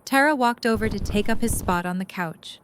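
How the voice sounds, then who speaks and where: A woman's voice: mid-range voice, fairly quick, a bit bright, slight background noise, rough recording. A woman reading scripted story narration as the narrator of an audio drama.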